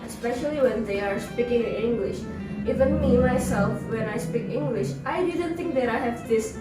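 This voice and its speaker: A woman's voice: fairly high pitch, moderate pace. A young woman, co-hosting a student podcast and explaining her views thoughtfully. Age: young adult. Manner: explanatory, thoughtful, playful at times. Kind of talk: casual discussion. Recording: echoey room, distant mic, music behind.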